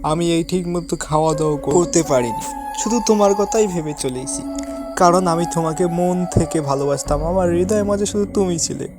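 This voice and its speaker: A man's voice: a little high, fairly quick, natural voice. A man playing a heartbroken, poor young lover in a cartoon, earnest, wounded and melodramatic. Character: heartbroken, lovesick poor young man pleading with his beloved. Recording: music behind the voice.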